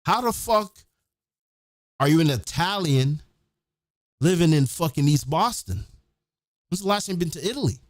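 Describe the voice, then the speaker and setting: A man's voice: fairly high pitch, lively intonation, moderate pace, long pauses, dry recording, clean studio sound. A man hosting his own show, giving a blunt, profane, emphatic opinionated monologue in a casual ranting style.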